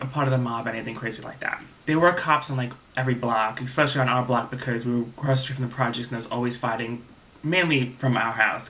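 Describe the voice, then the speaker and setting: A man's voice: mid-range voice, bright, forceful tone. A young man from Staten Island, telling a rambling casual story to camera and restarting his sentences often. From Staten Island, New York. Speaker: vlogger.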